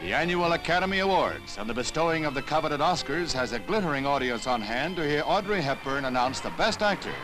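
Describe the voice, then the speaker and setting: A man's voice: fairly high pitch, big pitch swings, a little fast, hardly any pauses, rough recording. A man with an American accent narrating a 1960s newsreel in a formal, declarative announcer style. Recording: 1960s newsreel soundtrack with music. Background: music.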